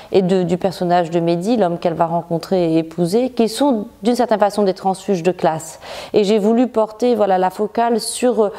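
A woman novelist from Morocco, seated in a bright living room, talking thoughtfully about her writing with frequent restarts and pauses. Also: medium pitch. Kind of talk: reflective interview monologue.

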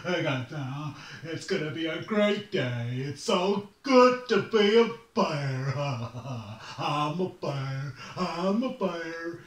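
Bear, someone doing a slow, giggly little bear. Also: medium pitch, expressive. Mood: gleeful. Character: cheerful small male bear, slow and simple. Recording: roomy, distant mic.

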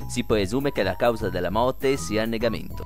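A man's voice: lowish voice, normal speed, a little mellow, faint music behind, natural voice. A man voicing a cheerful, callous tiger puppet who anchors a parody TV news show in a mock-professional, gleefully tasteless way. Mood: mock-serious.

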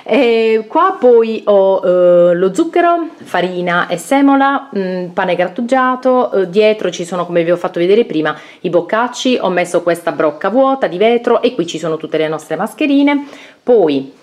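A woman in her thirties, a vlogger, chatting casually while showing her kitchen pantry, pausing often with fillers, recorded on a close handheld camera indoors at home. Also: medium pitch, expressive, darkish tone. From Italy. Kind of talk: casual home tour narration.

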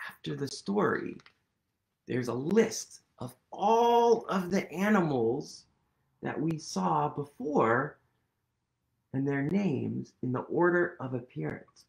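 A man in his thirties reading a picture book aloud to children, animated and playful, leading them in actions, recorded on a webcam in a small room. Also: fairly high pitch, creaky. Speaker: storytime reader.

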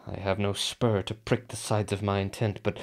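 An actor playing a brooding, conflicted nobleman with deliberate, theatrical verse delivery in a Shakespearean radio drama. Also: fairly low pitch, little pitch movement, creaky. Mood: brooding, doubtful.

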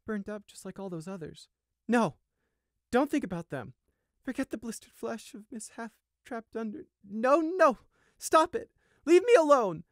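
A small young male sheep for a storybook, pitched well above an adult male voice. The little brother stammers and pleads in a frightened whimper, heard close in a dry recording. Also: a little slow, pauses often, a little mellow, no room sound. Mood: anguished, fearful. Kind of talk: storybook character acting.

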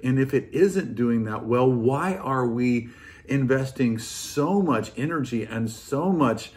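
This is an American man in his sixties with a medium-pitched voice. He speaks like a pastor sharing an informal reflection from home. His manner is earnest and thoughtful, and he repeats key phrases to drive them in. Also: animated, slow, a little mellow. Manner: earnest, reflective, emphatic repetition. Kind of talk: informal devotional talk to camera. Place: living room at home, indoors.

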